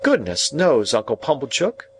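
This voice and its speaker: A man voicing a sharp-tongued, haughty grown woman with a curt, exclamatory manner in an audiobook. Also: medium pitch, wildly swooping pitch, moderate pace, a little hiss or hum, pitched above the performer's own voice. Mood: grateful. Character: sharp, scolding, haughty older sister, adult woman. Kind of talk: audiobook character voice.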